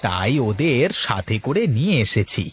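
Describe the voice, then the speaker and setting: A man acts out a humble village fish seller for a cartoon. The delivery is eager and folksy, with extremely lively rises and falls in pitch. A little noise lies behind the voice. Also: moderate pace, natural voice. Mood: earnest. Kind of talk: cartoon voice acting.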